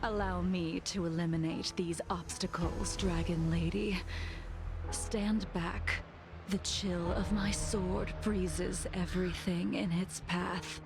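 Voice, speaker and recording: A woman voicing a cold, world-weary swordswoman in a video game, speaking in a formal, measured, calm way. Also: fairly low pitch. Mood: cold resolve. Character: cold, composed, world-weary swordswoman haunted by inner demons. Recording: loud game music behind. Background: game music.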